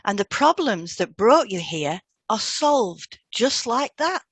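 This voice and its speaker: A woman narrates a scripted podcast in deliberate, measured phrases. Her mid-range voice rises and falls expressively, recorded dry with no noticeable room sound. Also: on the high side, big pitch swings, fairly bright tone, breathy edge, no room sound. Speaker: hypnotherapist and podcast co-host.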